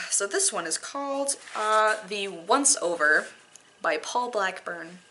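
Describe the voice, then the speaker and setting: Bright-voiced woman, theatrical amateur reader. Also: mid-range voice, expressive, slow, a little hiss or hum, poor audio. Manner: self-deprecating, theatrical. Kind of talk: dramatic poetry reading with casual asides.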